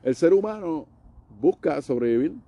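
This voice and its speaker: A man's voice: fairly high pitch, expressive, normal speed, highs cut off. A man with a Puerto Rican accent talking in a casual debate, emphatic, mixing English words and swearing into his Spanish.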